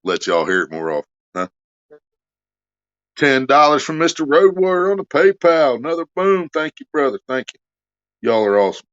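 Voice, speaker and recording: A man's voice: a little high, big pitch swings, normal speed, a bit bright, muffled recording. A man hosting a casual livestream, cracking crude jokes and reading out donations. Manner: crude joking, reads donations aloud. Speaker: livestream host.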